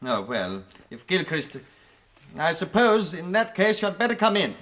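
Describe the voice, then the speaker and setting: A man's voice: sits high, sing-song, moderate pace, fairly bright tone. A man in a radio drama playing a stern, haughty Indian student who speaks precisely and formally, with indignation. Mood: grudging.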